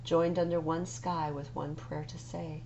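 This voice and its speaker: A woman with a lowish, slightly creaky voice reads a children's story aloud. Her delivery is expressive and deliberate, as at a story time. There is clear noise and hum behind her. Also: muffled recording. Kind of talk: read-aloud picture book narration.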